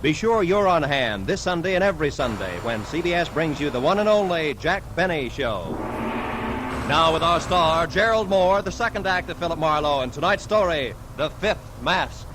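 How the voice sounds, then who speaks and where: An old radio broadcast with vehicle noise over it carries an American male announcer. His polished fifties delivery is fairly high and very animated. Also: moderate pace.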